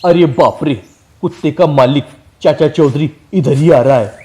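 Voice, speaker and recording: A man's voice: fairly high pitch, moderate pace, warm tone, close to an everyday voice. A man voicing a boastful, scheming dog thief with a brash manner. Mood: flustered.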